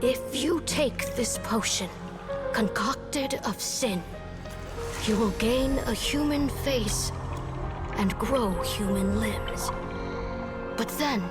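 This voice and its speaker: A woman voicing an ominous female witch in a video game, speaking in a slow, measured way. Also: a little high. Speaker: game character. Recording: game audio with loud music.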